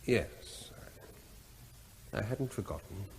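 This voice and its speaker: Brisk veterinary officer. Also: male voice, fairly low pitch, expressive. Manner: brisk, matter-of-fact. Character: brisk, wary man, a government vet. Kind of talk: 1970s radio drama acting. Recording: long reverb.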